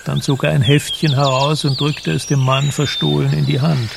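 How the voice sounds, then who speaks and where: An actor as a grave storyteller, delivering scripted literary narration for a radio drama. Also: male voice, fairly high pitch, little pitch movement, moderate pace, vocal fry, very noisy.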